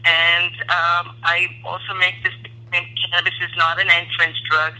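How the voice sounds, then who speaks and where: A woman's voice: on the low side, monotone, moderate pace, bright, forceful tone, low-quality recording. A woman, a physician calling in to a radio talk show and explaining her work earnestly over the phone. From India. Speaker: physician guest. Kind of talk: radio call-in interview. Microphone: phone line.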